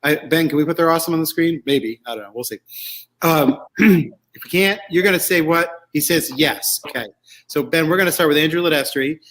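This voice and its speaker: A man's voice: a little high, brisk, a bit bright. A man hosting a show about choral music with casual game banter, chatty and digressive, often interrupting himself as he explains.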